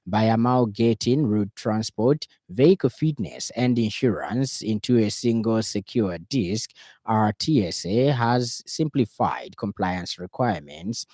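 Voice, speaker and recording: A Zambian-accented man reading a scripted radio news bulletin in a formal, measured announcing manner. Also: on the low side, dark tone, dry recording. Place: radio studio.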